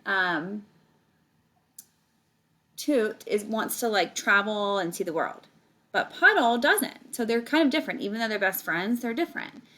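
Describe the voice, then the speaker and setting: A woman's voice: medium pitch, a little slow, pauses often. A woman reading a picture book aloud to children like a teacher, stretching words and adding casual explaining asides to her young listeners. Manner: animated storytelling, stretches words, chats directly to young listeners.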